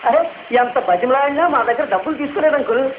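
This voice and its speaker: A man playing a breezy, flattering son-in-law in a radio comedy drama, chatty, insistent and ingratiating. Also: very high-pitched, quick pace. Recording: echoey, narrow-band, noisy old radio recording.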